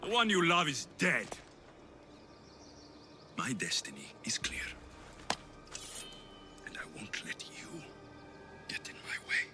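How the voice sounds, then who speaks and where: Over prominent music comes a volatile young warrior in a video game drama. His pitch leaps and plunges as he moves between scorn and menace. He speaks slowly and intensely, sure of his own destiny. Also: wildly swooping pitch. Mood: cold, menacing. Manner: scornful, intense, swinging from shouts to menacing whispers. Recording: loud music behind the voice.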